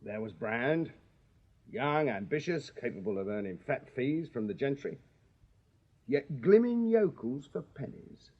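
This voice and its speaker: An actor playing a shrewd Victorian police sergeant in a radio drama, English, clipped and plain-spoken with a drily insolent deference. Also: male voice, mid-range voice, very animated, a little slow. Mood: knowing, accusing. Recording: slightly dull radio recording.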